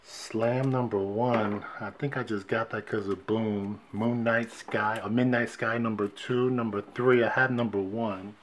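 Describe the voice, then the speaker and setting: A man with a lowish voice talks slowly and easily, counting off issue numbers one after another. He sounds relaxed and unhurried, recorded by a fixed camera indoors with a faint hiss behind him. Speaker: comic book collector showing his haul. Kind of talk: casual show-and-tell vlog. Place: indoors at a wooden shelf display. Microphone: fixed camera, hands only in frame.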